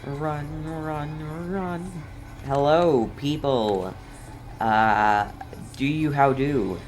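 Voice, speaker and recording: A woman's voice: rumbling low, drawn-out words, darkish tone. A woman giving casual let's play commentary with dry, skeptical asides. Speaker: game streamer. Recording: game music behind the voice.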